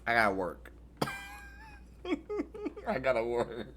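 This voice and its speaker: A man's voice: medium pitch, slow, noisy background. A man streaming live, chatting casually, joking around and laughing often.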